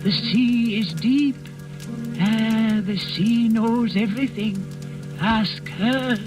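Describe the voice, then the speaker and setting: A high, rambling old man of the castle, sly beneath his deference. He narrates like a vintage radio play, with music behind him. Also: takes it slowly, rough recording. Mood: dreamy, declaiming. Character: rambling, sly old Danish castle servant feigning senility. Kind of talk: 1940s radio drama acting, narrating.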